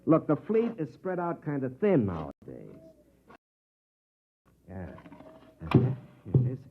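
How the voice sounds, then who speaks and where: A man's voice: medium pitch, huge pitch swings, unhurried, very noisy. An American actor playing a sardonic, world-weary middle-aged army captain who narrates his own story in a 1950s radio drama. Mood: dry, matter-of-fact. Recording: vintage 1950s radio, narrow band, music behind.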